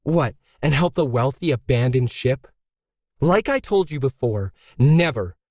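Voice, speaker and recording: A man's voice: medium pitch, lively intonation, measured pace, a little mellow, no echo, clean studio sound. A man voicing a fiery, defiant woman artist in a story reading, challenging and emphatic.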